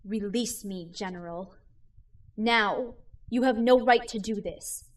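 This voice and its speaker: A woman's voice: medium pitch, slow, close to an everyday voice. An actress playing a captive female officer who defiantly demands her release. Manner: defiant, urgent.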